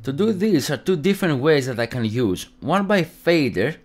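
Greek-accented man giving a halting tutorial. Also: on the high side, very animated. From Greece. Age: young adult. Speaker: tutorial presenter. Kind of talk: instructional tutorial narration.

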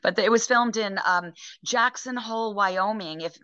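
A middle-aged actress chatting in a rambling, casual way over a video call from home, with many ums and restarts. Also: female voice, medium pitch, measured pace, bright, forceful tone, clear, resonant tone, no room sound. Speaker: actress guest. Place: home room, indoors. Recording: dull lo-fi video call audio.

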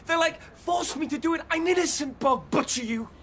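An actor playing a whining, violent fourteen-year-old British gang leader in a radio drama, talking in florid teen slang. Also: male voice, very high voice, fairly quick, a bit bright. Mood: furious. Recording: noisy radio drama sound scene.

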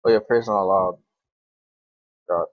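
Young male gamer, low voice, grumbly off-the-cuff play commentary with swearing, dull dry recording. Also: steady tone, unhurried, dry recording. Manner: informal, grumbling, frequent swearing. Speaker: gaming video creator. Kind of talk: casual gameplay commentary.

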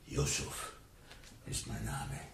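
An actor playing a sardonic, imperious talking tiger in a radio play, with a dry, commanding manner. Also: male voice, slow, reverberant, natural voice. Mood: haughty, mocking. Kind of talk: 1980s German radio play acting.

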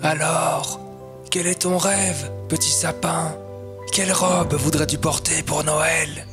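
A woman voicing a gentle, kindly old tree in a children's storybook, soft and soothing. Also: low-pitched, steady tone, slow pace, over music.

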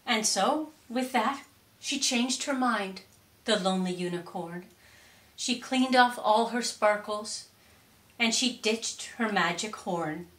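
A middle-aged woman reading a children's storybook expressively, with deliberate, theatrical emphasis in a roomy space. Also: medium pitch, a bit bright. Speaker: storytime reader. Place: indoors, curtained room or small stage. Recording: roomy echo on voice.

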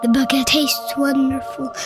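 A woman reading a children's picture book aloud in a slow, playful storybook manner. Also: high-pitched, level tone. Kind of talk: children's read-aloud narration. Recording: faint music behind the voice.

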